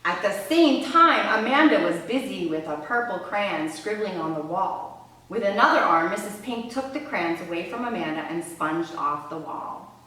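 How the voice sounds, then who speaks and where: A woman presenting read-aloud storytelling and teaching, expressive and conversational as she addresses her listeners. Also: mid-range voice, normal speed. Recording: roomy echo, distant microphone.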